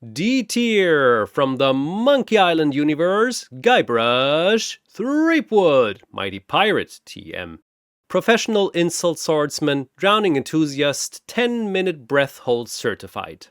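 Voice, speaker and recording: A man's voice: fairly high pitch, big pitch swings, slow, fairly bright tone, smooth, dry recording, studio quality, exaggerated voice. Man playing a bombastic mocking god announcer. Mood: teasing, showy. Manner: theatrical, grandiose, teasing. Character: bored, gleefully mocking four-armed almighty god playing arena announcer. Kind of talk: bombastic showman ring announcing.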